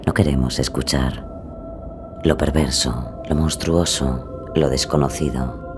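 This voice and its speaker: Brief: slow, hushed male narrator with a very deep voice. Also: fairly even intonation. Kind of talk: scripted true-crime narration. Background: music.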